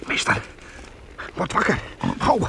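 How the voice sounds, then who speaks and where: An actor playing a young runaway serf who gives hushed, urgent warnings in an old radio drama. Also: male voice, slow pace, very noisy, close to an everyday voice.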